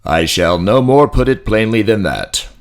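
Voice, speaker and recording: A man's voice: fairly low pitch, expressive, unhurried. A man voicing a pompous, commanding emperor with a short temper in a fantasy audiobook drama. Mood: cold, imperious.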